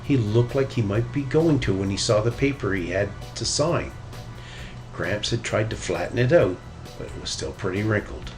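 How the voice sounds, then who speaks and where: A man narrates a children's story in a fairly low voice. His intonation is lively and varied, and light music is present. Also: normal speed. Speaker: storybook reader.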